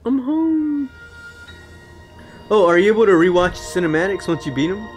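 A man's voice: high-pitched, rapid-fire. A man chats casually over video game cutscenes. His talk is slangy and full of questions, with game music running behind his voice. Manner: informal, slangy, asks lots of questions. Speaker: co-commentator watching a video game.

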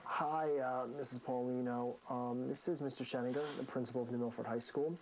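An American man in his thirties, a high school principal, speaking in a stern, authoritative way. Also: fairly even intonation, a little fast. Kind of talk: reality show narration and firm talk with a student. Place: school offices and locker room. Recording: noisy reality TV location sound.

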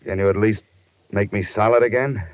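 An American man playing a tough, weary private investigator in a 1950s radio drama, clipped and deadpan. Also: lowish voice, fairly quick, no room sound, close to an everyday voice. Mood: urgent. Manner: clipped, hard-boiled, deadpan. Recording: muffled vintage radio recording, music behind.

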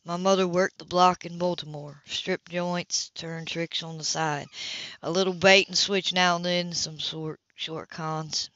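A woman's voice: lowish voice, fairly even intonation, measured pace, fairly bright tone, no room sound. A woman voicing a tough, streetwise man in an audiobook, matter-of-fact and cynical about a hard past. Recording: dull lo-fi home recording.

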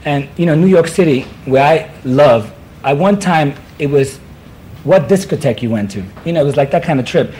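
A man's voice: on the high side, moderate pace, mellow, low-quality recording. Giggly Puerto Rican man rambling. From Puerto Rico. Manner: rambling, giggly, hip slang. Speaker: talk show guest. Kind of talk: rambling comic chat. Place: television studio set. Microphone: stand mic. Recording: old TV broadcast audio, hiss.

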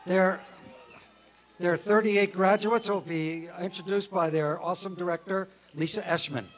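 A man's voice: high voice, fairly even intonation, unhurried, a bit bright, faint music behind, low-quality recording. A man acting as emcee, making formal introductions at a commencement ceremony. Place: outdoor college commencement ceremony.

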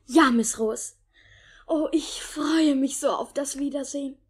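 A young male as a gentle orphan boy in an old radio play. He speaks slowly and earnestly in a high, soft voice. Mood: wistful, eager. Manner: earnest, soft-spoken, polite. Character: Oliver Twist, gentle, grateful orphan boy. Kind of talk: 1950s German radio drama acting.

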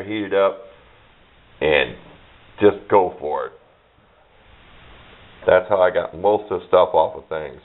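A middle-aged man chatting casually and unscripted as he shows his hobby collection, in a folksy, plainspoken, rambling way. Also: deep voice. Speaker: hobbyist showing his radio parts collection. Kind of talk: casual unscripted show-and-tell. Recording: dull lo-fi camera microphone.

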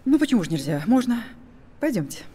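An actress playing a composed, guarded middle-aged widow who speaks in a controlled, terse way. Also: female voice, on the high side, sing-song, fast talker, warm tone, slight background noise. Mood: composed, polite.

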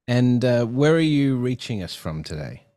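A man's voice: medium pitch, very animated, normal speed, mellow. A middle-aged man hosting a podcast interview over a video call, talking casually with many fillers and restarts. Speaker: podcast host. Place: home studio with neon sign. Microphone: headset and desk mic on video call.

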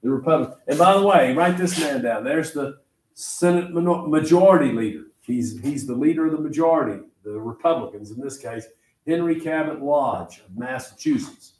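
Older Oklahoma teacher in an echoey classroom. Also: male voice, a little high, normal speed, darkish tone. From Oklahoma, United States. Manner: emphatic, repeats key phrases, quizzes listeners. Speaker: history teacher. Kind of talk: classroom lecture. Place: medium-sized classroom, indoors. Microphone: far camera at back of room. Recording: echoey classroom, distant camera mic, background noise.

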